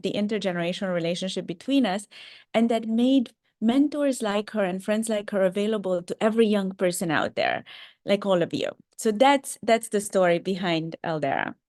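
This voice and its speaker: A woman's voice: medium pitch, darkish tone, dry recording, studio quality. A woman from Romania with a slight Romanian accent, giving a career talk to students and speaking earnestly, with many ums and restarts. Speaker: startup founder and CEO.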